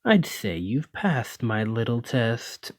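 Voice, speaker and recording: A man's voice: mid-range voice, very animated, slow pace, no echo, heavily acted. A man playing a haughty, teasing male lamia noble in an ASMR roleplay, with a pompous, refined, drawling and condescending manner. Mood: teasing, amused. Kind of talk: ASMR roleplay, scripted monologue to listener.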